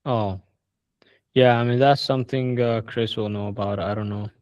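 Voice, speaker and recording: A man's voice: fairly low pitch, moderate pace, dry recording, muffled recording. Laid-back man hosting a video call. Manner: relaxed, hesitant with many restarts. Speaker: call host answering members' questions. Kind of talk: casual group video call.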